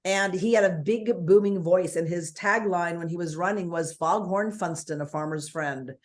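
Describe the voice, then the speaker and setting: A woman with a booming, fairly low voice, talking as an interview guest. She speaks forthrightly and energetically, piling point on point. The sound is that of a video call. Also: moderate pace.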